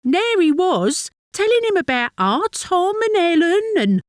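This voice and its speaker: An actress playing a chatty working-class London wife in a dramatic monologue, fond and mildly exasperated, confiding in a gossipy, colloquial way with a London accent. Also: female voice, extremely high pitch, very animated, measured pace, no room sound, clean studio sound, exaggerated voice. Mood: confiding, impressed. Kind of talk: dramatic monologue, conversational storytelling.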